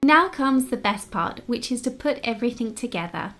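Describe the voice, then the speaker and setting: A woman with a fairly high-pitched voice narrates a scripted how-to for crafters. She explains things step by step, in an instructional style that is easy to follow. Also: expressive, normal speed, fairly bright tone, faint music behind. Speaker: craft tutorial presenter.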